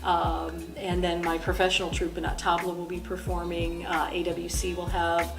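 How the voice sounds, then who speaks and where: A woman's voice: lowish voice, completely flat delivery, moderate pace, music in the background. Chatty middle-aged woman, dance teacher. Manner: chatty, self-deprecating, laughs often. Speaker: belly dance instructor. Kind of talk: relaxed interview answers.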